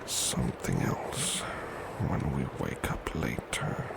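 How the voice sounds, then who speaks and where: A man playing a devoted, tender servant in an intimate ASMR roleplay, murmuring sleepily and half whispering, with loud noise behind him. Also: a bass voice, wildly swooping pitch, slow, gravelly, exaggerated voice. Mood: sleepy, tender. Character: devoted, tender male servant cuddling his beloved. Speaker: ASMR voice actor.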